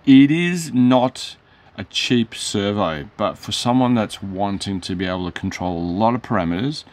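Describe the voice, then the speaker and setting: A hoarse middle-aged man, rambling through a casual product demo in his hobby shop, with lots of fillers and self-corrections. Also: lowish voice, animated, normal speed. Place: hobby shop, shelves behind.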